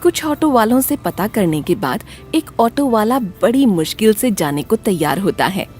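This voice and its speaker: A woman narrates an animated spooky tale as a scripted storyteller. Her pitch moves in a lively way over faint background music. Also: normal speed.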